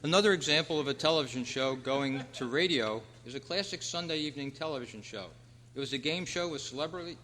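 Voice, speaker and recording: A man's voice: medium pitch, sharp tone, a little hiss or hum. A man hosting a live quiz and making club announcements to a room of people. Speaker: quiz host at a club meeting. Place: large meeting room at a convention. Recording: echoey hall, long reverb.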